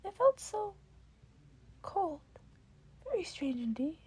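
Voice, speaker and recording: A woman's voice: extremely high pitch, very animated, slow, dark tone, voice pushed up, full character voice. A woman voicing a small, furry male creature, a polite and eagerly curious mentor with formal, inquisitive phrasing in an audiobook-style story. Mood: excited, curious.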